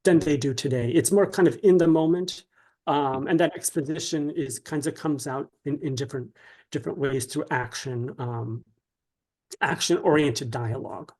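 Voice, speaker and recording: A man speaking as an interview guest, explaining his craft conversationally in a halting way, often checking with a "right?". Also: on the high side, darkish tone.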